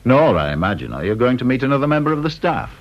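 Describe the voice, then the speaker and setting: An actor playing a sardonic, talkative senior security interviewer, British, with a dry, teasing, mock-polite manner in radio drama style. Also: male voice, medium pitch, big pitch swings, quick pace. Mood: dryly teasing. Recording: dull, muffled old radio recording.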